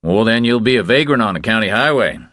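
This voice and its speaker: A man's voice: lowish voice, lively intonation, fast, fairly bright tone, no echo, studio quality, pitched above the performer's own voice. A man voicing a blunt young Texas Ranger sergeant in an audiobook, clipped, authoritative and unyielding. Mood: officious, firm.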